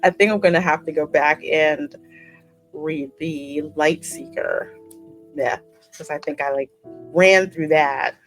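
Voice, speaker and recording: A woman's voice: low voice, unhurried. A woman hosting a gaming channel, chatting casually and effusively, repeating words for emphasis. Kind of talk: casual gaming commentary and chat. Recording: music playing behind the voice. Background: music.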